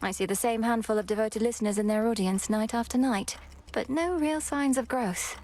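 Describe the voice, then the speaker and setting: A woman's voice: fairly high pitch, moderate pace, a little mellow, natural voice. A woman playing a defiant young princess goddess in a video game, composed and formal, with dry sarcasm and cool vengefulness. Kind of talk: theatrical video game acting. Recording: studio voice over faint game music.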